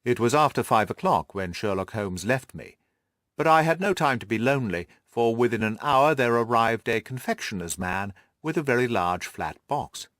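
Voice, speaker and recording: A man's voice: mid-range voice, animated, normal speed, a bit bright, no echo, studio quality. A man reading scripted literary narration as an audiobook narrator.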